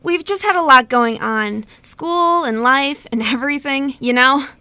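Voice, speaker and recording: A woman's voice: high-pitched, unhurried, pushed and bright, no room sound, heavily acted. A woman playing a friendly, tactful young sister in an amateur radio play, talkative and eager. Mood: delighted.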